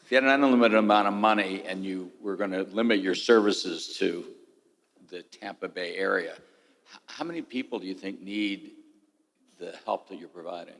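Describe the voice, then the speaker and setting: A man judging the competition asks a contestant probing questions, feeling his way through the phrasing. His voice is very low and gravelly. He is heard from a distance in a big echoing hall. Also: moderate pace, a bit bright. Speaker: competition judge.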